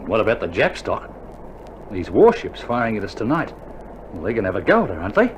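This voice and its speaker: An actor playing an eager, loyal wounded Australian soldier in a radio drama, talking in an earnest, colloquial way. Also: male voice, on the low side, big pitch swings, quick pace, low-quality recording. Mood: eager, anxious. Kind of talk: recorded radio drama acting. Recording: vintage vinyl recording, faint noise.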